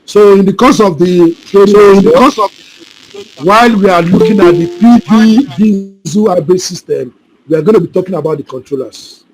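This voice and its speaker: A man's voice: high-pitched, moderate pace, over music, poor audio. A man teaching an online class on a video call, repeating points and often asking if students follow. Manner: repetitive, keeps checking students follow. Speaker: solar installation trainer. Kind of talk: live online class, answering questions.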